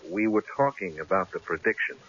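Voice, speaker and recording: An actor playing a stubborn, self-assured newspaper columnist in an old-time radio drama, wry and punchy. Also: male voice, sits low, expressive, brisk, a bit bright. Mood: cocky, wry. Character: cocky, stubborn male newspaper columnist, breezy and unflappable. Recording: hissy, dull old radio broadcast recording.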